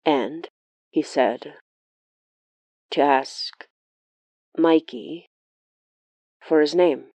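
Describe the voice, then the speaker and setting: A woman's voice: low voice, drawn-out words, pauses often, dark tone, no room sound, full character voice. A woman playing a wry, watchful sorcerer who narrates a horror audio diary in a confiding, matter-of-fact way.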